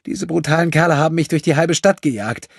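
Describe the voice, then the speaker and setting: A man's voice: a little high, fast talker, creaky, breathy edge, dry recording, clean studio sound, pitched above the performer's own voice. A man voicing a frightened young girl in an audiobook, with a trembling, pleading, urgent delivery.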